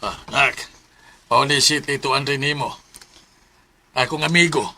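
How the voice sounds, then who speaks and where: An affectionate middle-aged Cebuano dad in a radio drama. His voice is very bright and forceful, with a creaky edge. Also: medium pitch, fairly even intonation, unhurried, a little hiss or hum. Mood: welcoming. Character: affectionate middle-aged father of a grown daughter. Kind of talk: Filipino radio drama acting.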